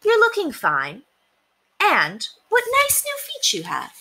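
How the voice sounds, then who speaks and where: A woman's voice: high-pitched, huge pitch swings, slow, gravelly, pushed and bright, pitched above the performer's own voice, exaggerated voice. A woman voicing an affectionate mother mouse in a storybook, cooing and fond. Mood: fond, pleased. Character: affectionate mother mouse greeting her grown son.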